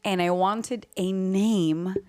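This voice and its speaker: A woman's voice: medium pitch, steady tone, slow pace, darkish tone. A woman from Miami and New York talking as a performer guest in a casual, comedic interview, with a playful, theatrical way of speaking and bits of Spanish. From Miami and New York, United States. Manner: theatrical, playful, bursts into sung syllables and Spanish phrases. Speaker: guest, singer and actress.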